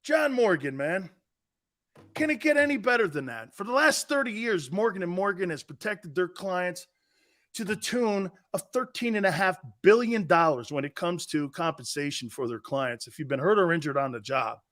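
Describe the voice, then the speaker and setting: A man's voice: high-pitched, animated, normal speed, fairly bright tone. A middle-aged man hosting a sports talk show, telling stories with laughter and acting out other people's voices, then reading an ad. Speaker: host. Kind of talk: storytelling chat with ad read.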